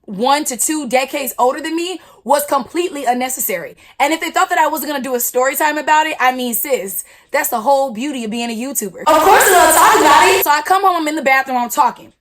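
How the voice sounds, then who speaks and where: A woman in her twenties, a YouTuber telling a storytime with a loud, blunt, slangy delivery. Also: sits high, quick pace, few pauses. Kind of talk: storytime vlog.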